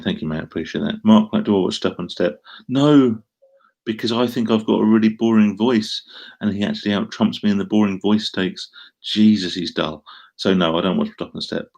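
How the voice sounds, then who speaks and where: Middle-aged Essex bloke, gravelly and laid-back, reading out chat and rambling through answers. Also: male voice, low-pitched. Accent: Essex English. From Essex, England. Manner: rambling, self-deprecating, frequent ums and restarts. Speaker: live streamer answering chat. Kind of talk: casual live stream chat.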